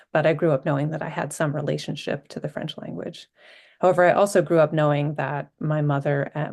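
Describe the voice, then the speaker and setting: A woman panelist from Vermont giving a reflective personal account, halting with frequent ums and restarts. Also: deep voice, vocal fry, a little mellow.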